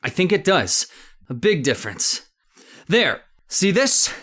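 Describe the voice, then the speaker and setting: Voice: mid-range voice, very animated, slow pace, fairly bright tone, heavily acted. An actor playing a defiant, frightened doctor in an old-time radio horror drama, dramatic and emphatic. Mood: defiant, accusing.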